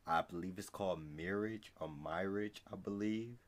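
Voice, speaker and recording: A young man with an American accent hosts a casual reaction video from a small room. His voice sits low, and he talks informally, thinking aloud as he comments. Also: normal speed. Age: young adult. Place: small room at home, indoors.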